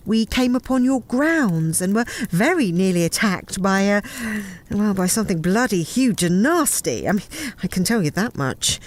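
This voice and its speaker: A woman acts a plucky captain posing as a well-mannered English lady in an audio drama. Her voice is mid-pitched, and she talks breezily, feeling her way through a polite bluff. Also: close to an everyday voice.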